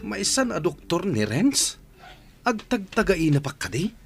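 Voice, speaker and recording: Guy playing a worked-up villager who cant believe it, kinda high voice, very animated, radio drama. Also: a little slow, creaky, faint music behind, full character voice. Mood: incredulous. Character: agitated, disbelieving village man, injured son-in-law. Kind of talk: Ilocano radio drama acting.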